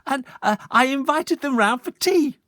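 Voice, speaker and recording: A shrill, sing-song storybook mum, put on by a man reading aloud, her voice leaping high and all over the place as she fusses over her son's guests. Close and dry, with no room around her. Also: lively intonation, moderate pace, pushed and bright, no echo, full character voice. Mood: chirpy. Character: fussy cheerful mother, woman, middle-aged.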